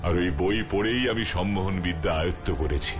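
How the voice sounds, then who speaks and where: A man voicing a strong, clever cartoon superhero detective who explains things in a measured, assured way. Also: low-pitched, music in the background, lightly acted. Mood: calm explaining. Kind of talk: cartoon dub, explanatory dialogue.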